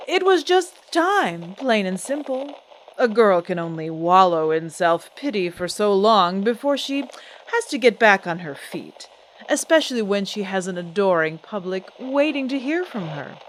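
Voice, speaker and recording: An actress playing a proud, guarded singer and stage star, theatrical and poised in an old-time radio drama style. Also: female voice, mid-range voice, lively intonation, measured pace, a little hiss or hum, close to an everyday voice, poor audio. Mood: resolute, dramatic. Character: proud, guarded female singer-actress, a glamorous celebrity diva. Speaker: celebrity interview guest.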